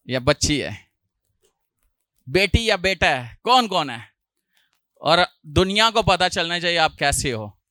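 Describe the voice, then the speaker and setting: A male pastor, preaching a sermon with a sore throat, often clearing it and rising loudly on hallelujah. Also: a little high, no echo, studio quality. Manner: preaching with loud rises on hallelujah and jokey asides.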